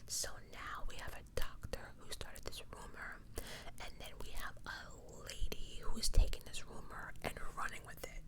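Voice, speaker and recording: ASMR host, pure soft whisper throughout, true-crime narration broken up by casual slangy commentary. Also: normal speed. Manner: casual, slangy asides between read passages.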